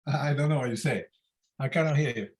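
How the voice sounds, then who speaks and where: A man's voice: medium pitch, quick pace. An elderly man, a senior surgeon, commenting informally at a medical discussion in loosely built non-native English. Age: 70s to 80.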